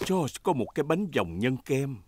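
A man narrating a children's cartoon from a script in an expressive storytelling way. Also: medium pitch, moderate pace, a little hiss or hum. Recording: music behind the voice.

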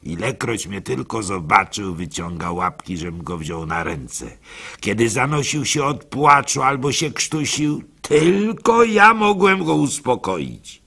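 Gullible, lovestruck baker in radio drama: gravelly, very bright and forceful, hesitant confessional storytelling. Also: male voice, mid-range voice, big pitch swings, slow, heavily acted. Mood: tender.

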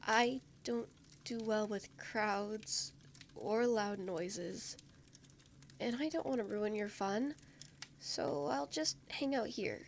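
A woman playing a shy, anxious, gentle young woman in a fantasy audio drama, speaking softly and hesitantly with a stammer. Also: medium pitch, slow pace, a little hiss or hum. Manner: hesitant, stammering, soft-spoken.

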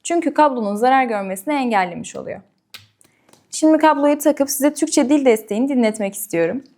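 A woman's voice: high-pitched, expressive, fairly quick, darkish tone, a little hiss or hum. A woman hosts a product demonstration. She speaks straight to the viewer and explains each step in an orderly way. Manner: clear step-by-step explaining, addressing viewers directly. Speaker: product reviewer and presenter. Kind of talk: product review and unboxing demonstration.

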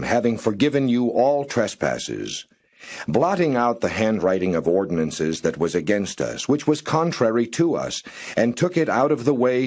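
A man giving a plain, measured reading of scripture, phrase by phrase. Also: on the low side, darkish tone, husky. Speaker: scripture reader.

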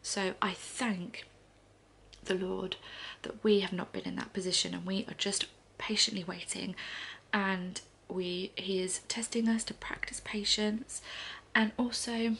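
Congested woman, gravelly and hushed. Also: medium pitch, steady tone, measured pace, slight background noise. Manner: soft, often half-whispered chatty talk. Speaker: vlogger. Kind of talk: casual morning chat to camera. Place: living room sofa indoors.

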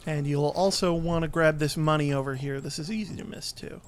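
A man giving casual, explanatory gameplay commentary, often halting mid-sentence as he goes. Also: on the high side, fairly even intonation, a little hiss or hum. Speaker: video game commentator.

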